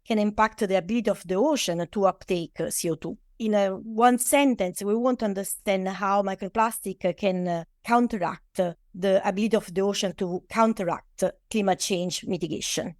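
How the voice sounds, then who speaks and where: A woman's voice: medium pitch, normal speed, few pauses, darkish tone, no room sound. Italian woman, middle-aged, english not her first language, lots of uh's, dry close mic. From Italy. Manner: hesitant non-native phrasing with frequent uh fillers. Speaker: guest, oceanographer. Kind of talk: interview answers.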